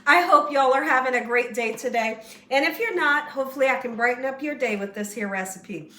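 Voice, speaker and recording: A woman hosting a casual home cooking tutorial, slightly hoarse as she loses her voice, chatty and encouraging toward viewers. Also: high-pitched, brisk, pushed and bright, roomy sound, far from the mic. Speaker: cooking show host. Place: home kitchen.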